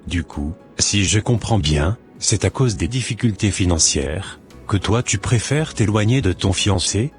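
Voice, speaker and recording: Man voicing a deep-voiced preaching church brother. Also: expressive, soft background music. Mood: questioning. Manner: slow, sermonizing, gently admonishing. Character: Brother David, a calm preaching church elder.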